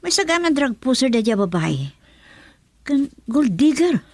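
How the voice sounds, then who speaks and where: An indignant, wounded young woman in a radio drama with a Filipino accent. Her pitch swings widely, and the sound is dry and close. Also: very animated, moderate pace, dry recording, full character voice. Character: hurt, indignant young woman betrayed in love.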